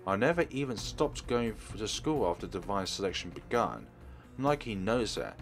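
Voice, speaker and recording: A man's voice: on the low side, natural voice. A man reading aloud a teenage girl's inner narration from a game in his own voice, with small restarts. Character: teenage girl narrator, average and nosy. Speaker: Let's Play reader.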